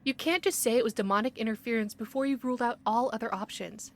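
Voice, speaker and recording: A woman's voice: fairly high pitch, quick pace, close to an everyday voice. A woman playing a skeptical, by-the-book investigator in an audio drama, speaking precisely and argumentatively. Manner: precise, argumentative, matter-of-fact. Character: skeptical, bookish young female paranormal investigator, stubborn and by-the-book.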